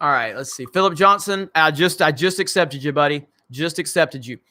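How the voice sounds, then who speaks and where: Male streamer host, slightly high, bright and crackly voice, blunt and profane, loose joking chat. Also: normal speed. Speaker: channel host. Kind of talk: casual livestream chat reading viewer comments.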